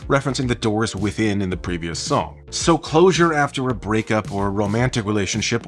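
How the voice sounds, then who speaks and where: A man giving an enthusiastic, conversational video essay commentary, explaining things with emphasis as host. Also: on the low side.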